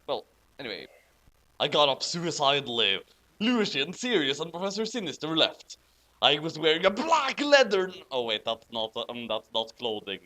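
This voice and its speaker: Animated, bright-voiced man reading aloud. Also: on the high side, normal speed. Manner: incredulous, amused, breaking into laughter over the text. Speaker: host reading fan fiction aloud with commentary. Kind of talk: dramatic reading with mocking commentary.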